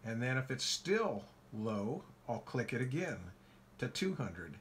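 A man calmly walking through his ham radio station setup in a casual how-to, with lots of filler words. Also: mid-range voice, a little slow, a little hiss or hum. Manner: relaxed, folksy, frequent "uh" fillers. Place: home radio shack by a window.

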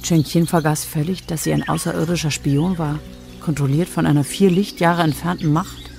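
A woman narrating a scripted radio drama, with a creaky voice. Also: deep voice, fairly even intonation, moderate pace, a little mellow. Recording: music behind the voice.

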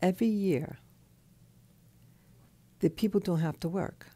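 A woman who has just woken up, talking in a soft, hoarse, half-whispered voice from her bed, slow and halting, with a clip-on mic. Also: on the low side, dry recording. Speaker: channel host. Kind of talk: early morning monologue. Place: in bed at night.

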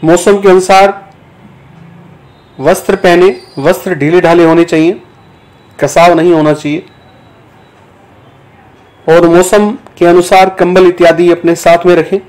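Slow-speaking, higher-pitched man guiding meditation. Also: fairly even intonation, darkish tone, low-quality recording. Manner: measured, deliberate, pausing between short phrases. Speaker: yoga teacher guiding a meditation. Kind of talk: guided yoga nidra instruction, spoken slowly.

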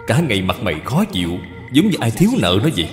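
An aggrieved, scheming stepmother of old China, read by a man for a cartoon. She complains and justifies herself in varied pitch, with long reverb and soft music behind. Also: wildly swooping pitch, fast. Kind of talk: cartoon dubbing.

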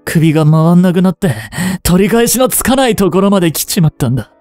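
A man in his twenties playing a remorseful, grieving young hitman in a voice drama, breathy and anguished. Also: high voice, lively intonation, darkish tone, no room sound, soft background music. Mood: resigned, pained. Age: 20s. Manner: anguished, halting, intimate. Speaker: voice actor. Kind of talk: Japanese voice drama monologue, emotional acting.

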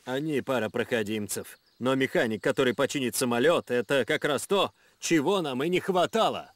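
An actor voicing a level-headed jungle explorer in a cartoon, speaking in a calm, persuasive way. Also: male voice, mid-range voice, moderate pace, no room sound, natural voice. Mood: calm persuading. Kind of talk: animated adventure cartoon dub.

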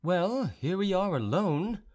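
Storybook tavern keeper, male reader acting: mocking, goading, exclamatory, high and very animated pitch. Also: slow pace, full character voice. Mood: sly, goading. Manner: taunting, exclamatory.

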